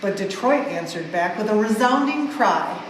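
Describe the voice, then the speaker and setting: A woman's voice: medium pitch, lively intonation, moderate pace. Woman reading aloud in an echoing hall. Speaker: museum staff member reading a story aloud. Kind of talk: expressive children's storybook reading. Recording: very echoey large hall, some noise.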